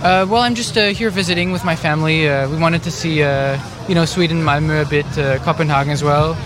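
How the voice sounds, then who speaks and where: A man's voice: fairly high pitch, steady tone, a little fast, a crowd in the background. A man from Canada answering an interviewer's questions at an outdoor festival, hesitant, with many "uh" and "you know" fillers. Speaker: festival visitor being interviewed. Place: outdoor food festival in a city square. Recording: outdoor festival with loud background music. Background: loud music outdoors.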